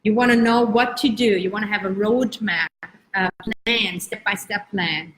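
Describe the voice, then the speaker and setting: A woman from Spain, raised in Germany, giving an earnest, encouraging motivational talk as a life coach, slowing down to stretch key words. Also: mid-range voice, a bit bright, rough recording. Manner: earnest, encouraging, uneven pace with drawn-out words. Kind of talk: live-streamed motivational talk.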